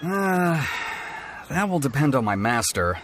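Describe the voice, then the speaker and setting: A man's voice: on the high side, very animated, unhurried, slight background noise. A man playing a polite, deferential apprentice swordsmith in a video game, formal and apologetic.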